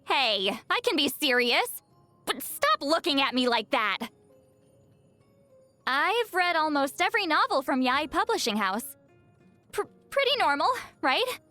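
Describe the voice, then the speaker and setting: A woman voicing a video game seer, youthful-sounding, proud but easily flustered and secretly awkward, in an expressive, haughty and defensive manner. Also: sits high, unhurried, pauses often, dry recording, soft background music, full character voice. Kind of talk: video game character voice lines.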